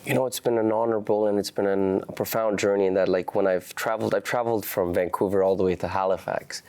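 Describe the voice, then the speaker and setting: A young man whose first language is Farsi, giving earnest, halting interview answers full of restarts. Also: on the low side, fairly even intonation, a little mellow, smooth. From Canada. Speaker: activist guest.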